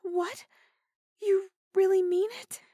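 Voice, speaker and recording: Woman playing a very high-pitched, eager adult dog-woman. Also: little pitch movement, slow pace, mellow, no room sound, clean studio sound. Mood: overjoyed, excited. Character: eager, submissive, excitable adult female dog-human hybrid. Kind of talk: intimate scripted audio roleplay.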